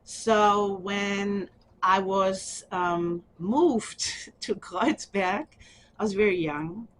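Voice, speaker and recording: A middle-aged woman from Germany with a German accent, speaking softly and haltingly with small laughs in an oral history interview. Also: mid-range voice, slight background noise. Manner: soft, halting, with frequent ums and small laughs.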